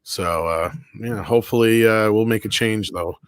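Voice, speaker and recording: A man's voice: on the low side, normal speed. A man co-hosting an online show in casual, opinionated chat, speaking bluntly and emphatically with plenty of swearing.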